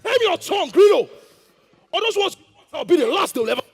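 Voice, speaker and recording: High-pitched, imperious Nigerian royal plotter, played by a man. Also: sing-song, a little fast, exaggerated voice. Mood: menacing. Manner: imperious, barking threats and proverbs. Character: domineering ambitious royal plotter, hot-tempered and menacing. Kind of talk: declamatory stage acting, Nigerian drama.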